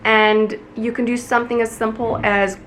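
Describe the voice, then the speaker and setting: A woman's voice: a little high, level tone, moderate pace, a little hiss or hum, rough recording. A young woman from Africa, talking to camera in a casual, earnest vlog. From South Africa. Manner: earnest, warm appeal to viewers, chatty. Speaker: vlogger.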